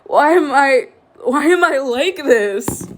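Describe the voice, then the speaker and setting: A woman's voice: high voice, expressive, takes it slowly, voice pushed up. A woman voicing an anguished, self-loathing teenage boy in a dramatic fan fiction reading, pleading with strained emotion. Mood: pained, bitter.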